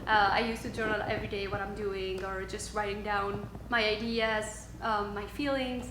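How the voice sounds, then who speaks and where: A woman's voice: medium pitch, little pitch movement, normal speed. Woman, roomy echo. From Switzerland. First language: French. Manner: hesitant, many ums, frequent laughs. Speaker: guest, yoga instructor. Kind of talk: casual interview. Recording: roomy echo with faint background noise.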